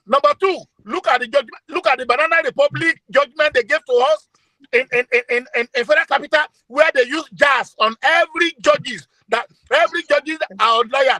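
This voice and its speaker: High-pitched, halting Ekiti-accented older man. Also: bright, forceful tone, no room sound, low-quality recording. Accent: Nigerian, Ekiti. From Nigeria. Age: 60s. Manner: halting, repeats words, stumbles over phrases. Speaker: call-in speaker. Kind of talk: impassioned online forum talk.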